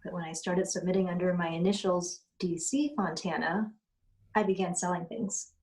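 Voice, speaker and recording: A woman's voice: lowish voice, steady tone, moderate pace, a little mellow, lightly acted, rough recording. A woman playing a determined, articulate television writer in a screenplay table read.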